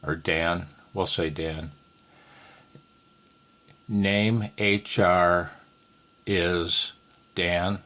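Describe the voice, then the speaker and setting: Male instructor, low voice, unhurried delivery, folksy and self-deprecating, a touch nervous, informal rambling lesson. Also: fairly even intonation, fairly bright tone. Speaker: amateur radio instructor. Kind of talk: casual, rambling tutorial talk.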